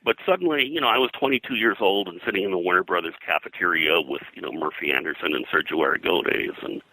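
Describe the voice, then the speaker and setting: Older American man, very low pitch, sharp forceful tone, halting reminiscence, frequent filler, dry close sound. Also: no room sound, rough recording. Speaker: interview guest, veteran comics colorist. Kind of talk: rambling reminiscence in conversation.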